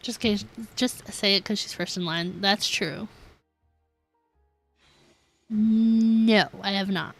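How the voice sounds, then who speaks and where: Slow-paced, giggly woman streaming. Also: medium pitch, steady tone. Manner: chatty, giggly, musing aloud. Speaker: game streamer, host. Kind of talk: casual live-stream chat to viewers. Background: game music.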